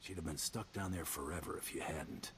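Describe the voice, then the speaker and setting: A cool partner in crime from a video game. The voice is exceptionally deep, with terse, dry, deadpan phrasing that stays steady. Some ambient noise runs behind it. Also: male voice, brisk. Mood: cool, concerned. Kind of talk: video game character dialogue.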